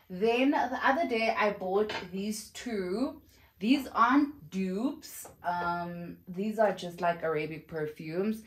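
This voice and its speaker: A young woman from South Africa chatting effusively in a casual perfume review vlog, filmed at home on a camera in front of her. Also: medium pitch. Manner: chatty and effusive, with exclamations and fillers. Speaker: vlogger. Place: home room with shelves, indoors.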